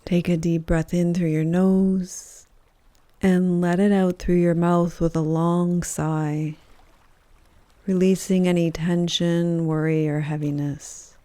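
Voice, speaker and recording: A woman guides a meditation in a dark, relaxed voice on the low side. She speaks very slowly and gently, leaving soft pauses between phrases. The sound is close and dry. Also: no echo. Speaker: yoga nidra teacher, meditation guide. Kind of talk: guided meditation, soothing scripted narration.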